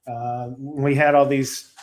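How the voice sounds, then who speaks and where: A man's voice: medium pitch, flat delivery, takes it slowly. A man who creates comic books, a podcast guest telling rambling, laid-back, self-mocking stories. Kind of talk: casual storytelling.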